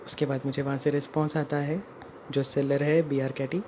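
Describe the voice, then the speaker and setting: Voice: mid-range voice, flat delivery, normal speed. An Indian vlogger explaining a personal customer complaint in narration that mixes in English phrases. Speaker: customer narrating own complaint as video creator. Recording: clear background noise.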